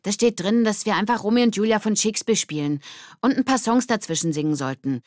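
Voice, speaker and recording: A woman's voice: mid-range voice, quick pace, no echo, clean studio sound, voice pushed up, lightly acted. A woman voicing a practical, assertive schoolgirl in a children's audiobook. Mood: matter-of-fact. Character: matter-of-fact, assertive schoolgirl.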